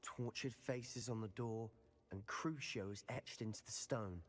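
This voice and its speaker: A man's voice: lowish voice, unhurried. A man playing an intense, persuasive teenage boy wizard in a scripted video game, impatient and determined. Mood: scheming. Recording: long hall reverb.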